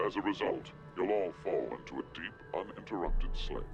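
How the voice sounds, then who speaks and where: An actor playing a calm, sinister mastermind in a video game, speaking in a measured, theatrical and ominous way. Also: male voice, deep voice, muffled recording, music in the background. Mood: commanding, detached. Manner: measured, deliberate, ominous storytelling. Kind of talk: video game villain monologue.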